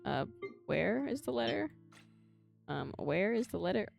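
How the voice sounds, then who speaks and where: A young woman doing casual livestream game commentary, expressive and theatrical, giggly and slangy. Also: mid-range voice, measured pace, vocal fry, soft background music. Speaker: live streamer.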